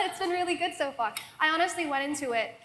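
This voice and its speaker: A woman in her twenties from Hong Kong, a software engineer talking casually on a conference panel. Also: very high-pitched, fairly quick. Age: 20s. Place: conference hall.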